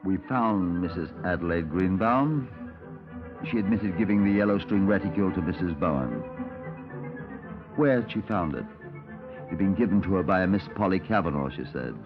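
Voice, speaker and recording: An actor playing a formal, dry Scotland Yard detective with a British accent, deliberate in speech, on an old 1950s radio drama recording. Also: male voice, deep voice, lively intonation, muffled recording, over music. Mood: dry, matter-of-fact. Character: measured, dry Scotland Yard detective narrating his case. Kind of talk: 1950s radio police drama acting and narration.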